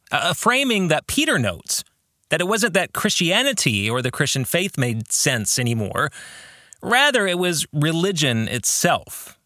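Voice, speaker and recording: A man with a fairly high, bright voice speaks slowly and deliberately as a podcast host. He reflects on a book in emphatic phrases, pausing and posing questions to the listener, with very animated intonation. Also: dry recording, studio quality.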